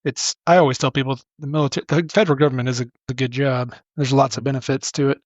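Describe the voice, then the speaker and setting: A middle-aged man, a podcast guest, talking casually in a relaxed, rambling way with many restarts. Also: medium pitch, fast talker, no echo, lo-fi sound. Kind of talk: casual interview talk.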